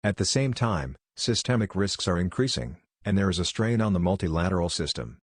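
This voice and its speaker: Deep-voiced man reading quickly. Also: expressive, husky, no room sound, clean studio sound. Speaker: read-aloud exam practice reader. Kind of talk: scripted read-aloud of short passages.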